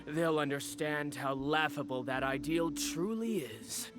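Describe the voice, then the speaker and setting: Casting: a man playing a jaded, mocking villain for an anime game; high-pitched, theatrical, self-important. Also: measured pace, over music, close to an everyday voice. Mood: scornful. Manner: mocking, pompous, drawling. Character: bitter, sneering male villain, a jaded fashion rival.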